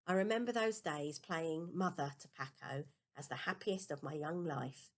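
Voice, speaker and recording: A woman's voice: sits low, moderate pace. A woman reading a children's novel aloud as a first-person storybook narration. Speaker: storybook reader.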